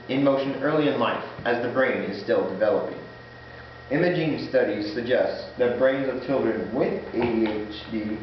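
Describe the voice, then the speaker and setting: A man giving a prepared informative student speech in a formal, halting way, stumbling over words as he partly reads it aloud. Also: mid-range voice. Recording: very echoey room, muffled, faint noise.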